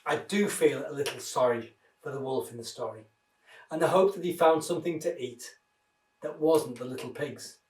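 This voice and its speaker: A man reading a children's story aloud in an expressive, dramatised way. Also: medium pitch, moderate pace. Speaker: minister reading children's stories. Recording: roomy echo, distant microphone.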